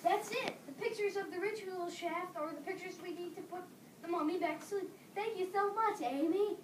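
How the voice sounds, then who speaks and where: A woman's voice: extremely high pitch, moderate pace. A young woman playing a resourceful, confident schoolboy in readers theater, with a plain, earnest delivery. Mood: excited. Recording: distant echoey room, clear background noise.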